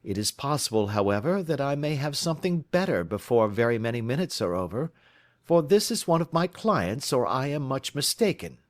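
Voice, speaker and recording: A man voicing a keen, lofty male detective in an audiobook, crisp and lecturing in manner. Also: mid-range voice, very animated, brisk, no echo, clean studio sound, voice pushed up, lightly acted. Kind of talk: audiobook character reading.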